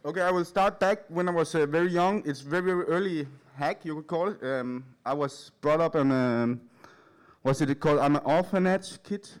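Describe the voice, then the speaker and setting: Nervous Danish man, slightly high voice. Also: clear, resonant tone. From Denmark. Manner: nervous, hesitant, halting, giggly. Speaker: speaker at a live event. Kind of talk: informal anecdotal stage talk. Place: in front of a large live audience.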